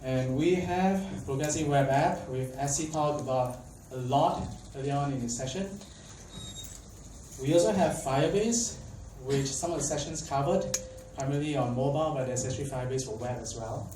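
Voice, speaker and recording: Young man from Malaysia, casual conference speaker, chatty, lots of fillers, quizzes the crowd; dark tone, faint background noise. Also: medium pitch, normal speed. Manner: chatty, filler-heavy, asks the audience questions. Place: conference hall. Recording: echoey hall, mic at a distance, faint background noise.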